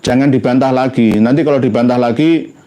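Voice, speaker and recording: A man from Indonesia with a Javanese accent giving an informal religious talk in a halting, conversational way. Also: medium pitch, dark tone. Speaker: religious commentator.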